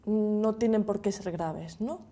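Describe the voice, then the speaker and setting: A woman in her thirties with a Galician Spanish accent, with a mellow, warm tone. She interviews in a conversational way, often closing her questions with a tag. She is recorded in a TV studio. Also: mid-range voice, takes it slowly.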